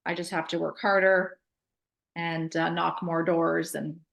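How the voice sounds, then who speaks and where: A woman's voice: fairly low pitch, little pitch movement, measured pace, gravelly, a bit bright. A woman real estate agent giving her takeaway in a group coaching call, friendly and candid, with some fillers.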